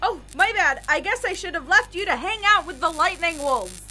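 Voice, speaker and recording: A woman playing an excitable, reckless, childlike young female musician in an audio drama, exuberant and naive. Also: very high-pitched, normal speed, exaggerated voice. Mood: exasperated, urgent.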